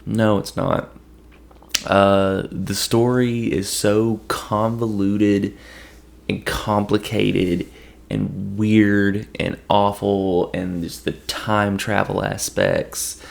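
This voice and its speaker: A man whose voice is hoarse and gravelly, with a lot of fry in it, sitting low in pitch. He reviews a film in loose, rambling casual talk, often stopping and starting over mid-sentence. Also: flat delivery, drawn-out words. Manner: rambling, with frequent restarts. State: hoarse from allergies. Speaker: podcast host.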